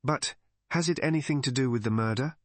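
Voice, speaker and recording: A man's voice: mid-range voice, fairly quick, no echo, close to an everyday voice. A man voicing a chatty, knowing vicar's wife in a mystery audiobook.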